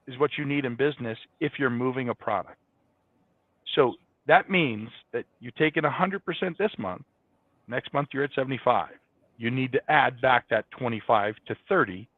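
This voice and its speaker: A man's voice: mid-range voice, no room sound. A man giving conversational, explanatory answers as a guest in a business interview. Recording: dull, band-limited, dry sound.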